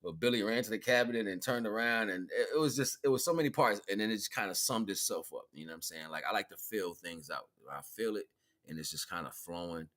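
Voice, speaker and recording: A man's voice: on the low side, fast talker, fairly bright tone. An American man chatting casually on a livestream as a musician, slangy and laid-back. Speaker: streamer and musician presenting own song.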